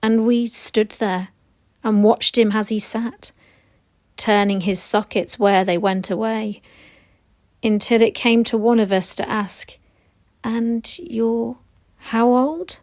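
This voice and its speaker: A woman reciting war poetry in a measured, storytelling manner. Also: on the high side, darkish tone, no echo, studio quality.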